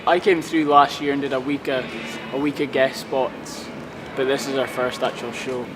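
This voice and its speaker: A man's voice: mid-range voice, steady tone, normal speed, music in the background, poor audio. A young man from Scotland, a stand-up comedian chatting casually in an interview about his show, rambling along like a storyteller. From Scotland (Aberdeenshire). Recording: street noise outdoors.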